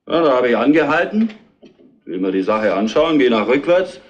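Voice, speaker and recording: An actor playing a defensive furniture truck driver in a retro crime radio play, speaking haltingly and colloquially. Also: male voice, mid-range voice, very animated, lightly acted. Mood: uneasy, recounting. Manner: halting, colloquial, plain-spoken. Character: defensive working-class furniture truck driver, a murder suspect. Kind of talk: retro German radio crime drama acting.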